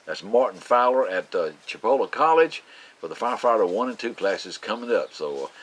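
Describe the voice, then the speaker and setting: Folksy Southern man with a cold-deepened voice. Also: lively intonation, fairly quick, fairly bright tone. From Florida Panhandle, US. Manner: drawling, rambling, many "uh"s and restarts. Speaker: host of a local farm and community TV show. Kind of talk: folksy rambling announcements.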